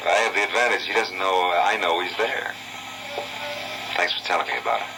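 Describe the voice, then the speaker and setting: An American actor playing a terse, world-weary insurance investigator in a 1950s radio drama, laconic and unruffled. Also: male voice, low voice, normal speed, rough recording. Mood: smooth, guarded. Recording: old 1950s radio recording, music behind.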